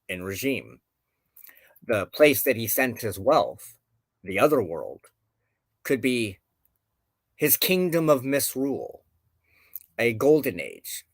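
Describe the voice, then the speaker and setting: A man hosting a show, giving reflective commentary on folklore and myth with deliberate, emphatic phrasing. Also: on the low side, no echo. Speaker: show host.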